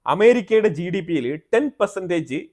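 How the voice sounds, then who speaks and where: A man's voice: high-pitched, lively intonation, normal speed, a little mellow. A man with a Malayali accent presenting explanatory news commentary, often rephrasing himself and mixing in English terms.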